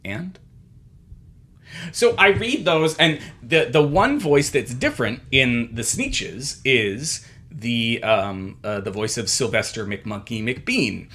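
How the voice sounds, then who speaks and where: Man in his thirties, podcast co-host: bright, forceful timbre, meandering delivery with lots of restarts. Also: mid-range voice, animated, breathy edge. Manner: rambling, halting, full of fillers and restarts. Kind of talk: casual comedic chat.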